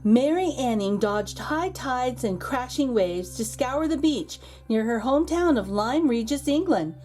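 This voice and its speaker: Expressive high-pitched woman reading a storybook. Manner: expressive, dramatic emphasis with pauses and hushed asides. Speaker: read-aloud host and storyteller. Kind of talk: children's picture book read-aloud.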